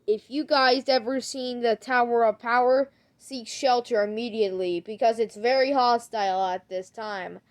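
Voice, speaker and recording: A woman acting out an improvised amateur skit, speaking haltingly and repeating her words. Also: on the high side, steady tone. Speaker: creator acting out an emergency alert skit.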